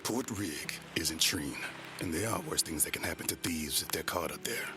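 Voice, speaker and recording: A man playing a gruff but caring pirate first mate, dutiful and measured, in an audio adventure drama. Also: extremely low pitch, noisy background. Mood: concerned.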